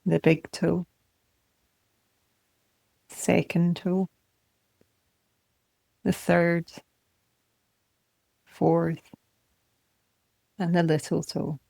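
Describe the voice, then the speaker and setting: A woman guides a body scan meditation in a lowish voice with a noticeable creak. She is calm and gentle, placing short, measured phrases one at a time. The sound is close and dry, with no room around it. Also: no room sound. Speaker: meditation teacher.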